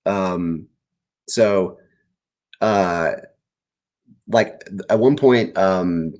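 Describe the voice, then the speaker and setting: A man talking casually as an author guest in an interview, animated and rambling as he pieces ideas together aloud. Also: low voice, drawn-out words. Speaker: children's book author, guest. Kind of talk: casual interview answers about writing.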